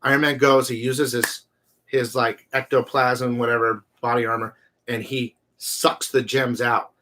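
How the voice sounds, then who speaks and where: A man's voice: medium pitch, level tone, unhurried, bright, forceful tone. A man hosting a film discussion, talking in a loose, colloquial and slangy way. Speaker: host of a live movie review show. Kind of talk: casual unscripted film discussion.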